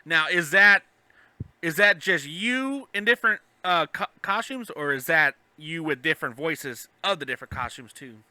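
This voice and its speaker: A man's voice: a little high, expressive, normal speed, bright, forceful tone, no echo. A man hosting a podcast in casual banter, joking and teasing as he goes. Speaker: podcast host.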